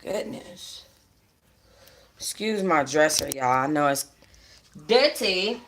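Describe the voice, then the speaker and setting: A woman with a bright, forward voice hosts a book club livestream from home. She reads expressively and speaks in a casual, slangy, conversational way. The phone picks up a noticeably echoey room. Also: sits low, lively intonation, takes it slowly, slight background noise. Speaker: book club livestream host reading a novel aloud. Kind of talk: expressive read-aloud with casual asides to viewers. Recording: roomy echo on a phone livestream.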